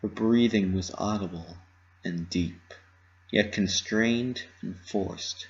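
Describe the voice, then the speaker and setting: A man's voice: on the low side, slow pace. A man narrating a story as a scripted dramatic reading. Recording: slightly muffled lo-fi recording.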